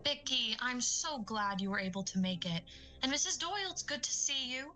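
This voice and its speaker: A woman playing a polite, measured eye doctor in an audio drama, professional and tactful. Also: fairly high pitch, expressive, sharp tone, soft background music.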